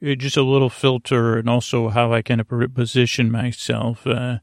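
A man with a creaky voice hosting a sleep podcast, rambling gently and hesitantly through meandering tangents, recorded very close to the mic in a small padded closet. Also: mid-range voice, little pitch movement, moderate pace, no room sound. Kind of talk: rambling bedtime monologue for sleep. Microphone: close microphone, monitored on headphones.

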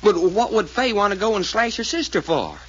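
An excitable Southern good old boy in a vintage radio serial. His voice is fairly high and very lively, full of homespun slang, on a muffled old recording. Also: brisk, husky, exaggerated voice. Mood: puzzled, folksy. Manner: folksy, drawling slang, questioning. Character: folksy, excitable adventurer, a plain-spoken good old boy. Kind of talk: old-time radio mystery serial acting.

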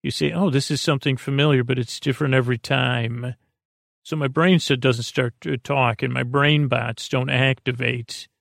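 Gravelly, meandering man lulling listeners to sleep. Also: mid-range voice, steady tone, moderate pace, a bit bright, no room sound, clean studio sound. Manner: meandering, hesitant, repetitive, with murmured asides. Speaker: podcast host. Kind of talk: rambling bedtime podcast monologue meant to lull listeners to sleep.

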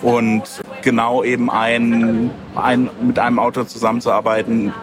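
A man's voice: mid-range voice, monotone, unhurried, crowd noise. A man talking casually as an interview guest. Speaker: comic writer and interview guest. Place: book fair. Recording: clear background noise, busy book fair.

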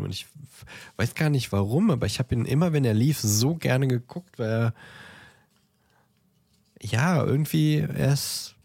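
A man's voice: mid-range voice, darkish tone, dry recording, clean studio sound. A man co-hosting a podcast, chatting casually about films and often searching for words. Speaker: podcast co-host.

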